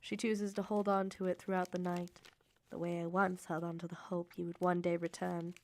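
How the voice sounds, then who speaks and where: Woman playing wounded Victorian little sister, low and creaky, formal letter-reading for radio drama. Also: flat delivery, natural voice. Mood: reproachful, resigned. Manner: formal, measured, emotive period diction.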